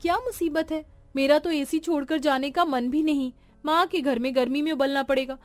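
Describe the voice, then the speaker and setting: A woman voicing a spoiled, haughty young married daughter in a cartoon story, whiny and sharp-tongued. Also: high voice, steady tone, normal speed, pitched above the performer's own voice, natural voice. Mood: annoyed.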